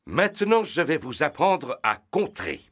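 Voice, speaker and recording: A man's voice: on the high side, sing-song, unhurried, fairly bright tone, breathy edge, exaggerated voice. A man voicing a cheerful, boastful big-bellied daddy pig who coaches children in a cartoon. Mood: encouraging, instructive. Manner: boastful, jovial, instructing.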